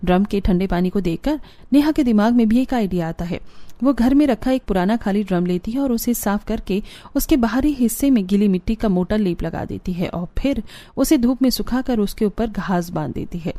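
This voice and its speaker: A woman's voice: mid-range voice, expressive, normal speed, warm tone, no room sound. A woman reading a scripted moral story as its narrator. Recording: music behind the voice. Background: background music.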